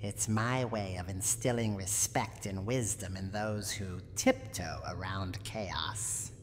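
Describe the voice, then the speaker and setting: A woman voicing a menacing, proud, cranky old granny in a theatrical, deliberate ASMR roleplay monologue. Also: a bass voice, in a large hall, a little hiss or hum. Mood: grudgingly fond. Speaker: roleplay voice actor.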